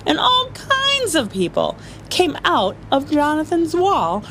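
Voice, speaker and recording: A woman's voice: sits high, wildly swooping pitch, slow, fairly bright tone, slight background noise, poor audio. A woman reading a children's picture book aloud as a story-time reader, playful and often chuckling.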